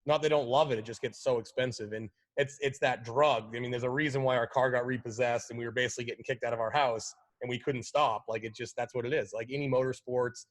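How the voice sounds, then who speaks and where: Easygoing rally driver, mid-pitched. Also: male voice, fairly even intonation, fast, few pauses. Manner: easygoing, lots of fillers like "like" and "you know". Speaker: guest, pro rally driver. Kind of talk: casual interview chat.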